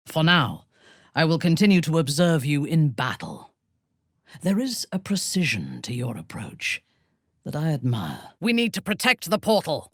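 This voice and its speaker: A woman playing a proud, ruthless female dark elf warrior in a video game, speaking in a cold, commanding, formally measured manner. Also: sits low, no echo, clean studio sound, full character voice. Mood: cool, grave, then stern. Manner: imperious, measured, formal phrasing.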